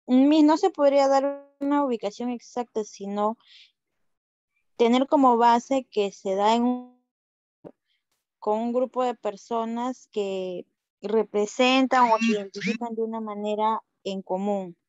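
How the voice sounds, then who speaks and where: A woman's voice: fairly high pitch, unhurried, a little mellow, no room sound, highs cut off. A woman student giving a careful answer in an online class. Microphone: video call.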